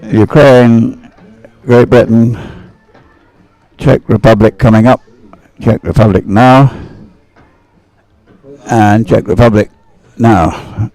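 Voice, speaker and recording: A man commentates live on a race in an unscripted, conversational style. His voice sits low and is mellow, with a lot of fry in it. He tends to hesitate and ramble as he describes what he sees. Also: fairly even intonation, takes it slowly, long pauses, low-quality recording. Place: outdoors beside the water at a regatta.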